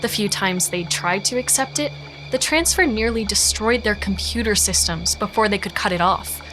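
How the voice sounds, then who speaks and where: An actress narrating a sci-fi audio drama as a matter-of-fact historian, measured and explanatory. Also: female voice, medium pitch, a bit bright, lightly acted, poor audio. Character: matter-of-fact female historian recounting a space expedition. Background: music.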